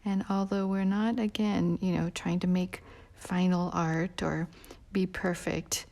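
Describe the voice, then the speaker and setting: A woman leading a live drawing tutorial in a relaxed, rambling way with many fillers, sometimes dropping to a soft near-whisper as she works. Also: fairly low pitch, measured pace. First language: not Portuguese. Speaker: drawing instructor.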